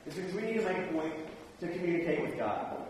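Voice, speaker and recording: A man's voice: a little high, sing-song, fast talker, darkish tone. A man preaching a sermon in a plain-spoken, conversational, informal way. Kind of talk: sermon with personal anecdotes. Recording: echoey hall, distant mic, faint noise.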